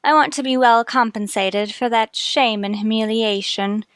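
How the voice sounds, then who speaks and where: An actress playing a cold, sardonic, embittered wife out for money in an old radio mystery drama. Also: female voice, fairly high pitch, moderate pace, a bit bright, smooth, dry recording, studio quality, close to an everyday voice. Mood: calculating.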